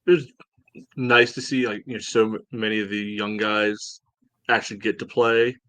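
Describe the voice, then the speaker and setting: A man gives casual sports analysis in a fairly low voice. His intonation stays flat while his phrasing is loose and conversational. The sound is close and dry. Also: moderate pace, no echo. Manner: conversational, peppered with "you know". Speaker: soccer podcast co-host.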